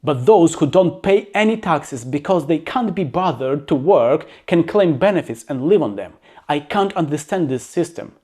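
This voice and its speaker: A man with a slightly high voice, speaking English as a second language. He reads facts in an even way, then gives his own blunt, opinionated commentary in a plain-spoken manner. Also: normal speed. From abroad, living in the UK. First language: not English. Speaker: YouTuber. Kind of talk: reading facts with personal commentary.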